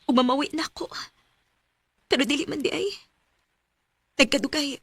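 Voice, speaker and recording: An actress with a Cebuano Filipino accent playing an anxious, devoted young woman in a radio drama, speaking softly and pleadingly. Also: sits high, moderate pace, no echo. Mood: sad, anxious. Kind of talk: Bisaya radio drama acting.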